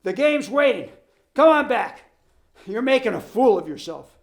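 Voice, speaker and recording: A man's voice: very high-pitched, sing-song, moderate pace, voice pushed up, exaggerated voice. A man voicing a blustering football captain who scolds and pleads while out of breath. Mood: scolding. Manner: sputtering, scolding, then pleading.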